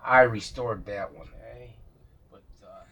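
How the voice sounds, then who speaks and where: A man with a husky, slightly rough voice talks at an unhurried pace. He gives casual workbench commentary as a hobby restorer, thinking out loud in halting, unscripted phrases. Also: medium pitch, fairly even intonation. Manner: musing aloud, halting, talking to himself and viewers. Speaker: vintage radio restorer showing a repair.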